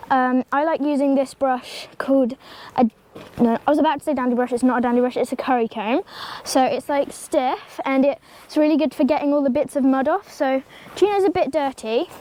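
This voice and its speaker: A woman's voice: high-pitched, mellow. Chatty teen girl with a cold. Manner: chatty, rambling, many ums and likes, talks to the pony. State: has a slight cold. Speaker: horse-care vlogger. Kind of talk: casual how-to tutorial. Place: outdoor stable yard beside a pony.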